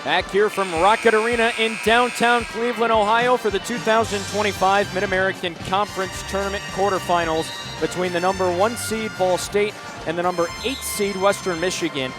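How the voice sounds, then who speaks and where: A man doing live radio play-by-play of a basketball game from an arena, projecting his calls and getting animated on big plays. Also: high voice, brisk, over music. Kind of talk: live sports commentary. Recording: noisy live arena broadcast, bouncing balls.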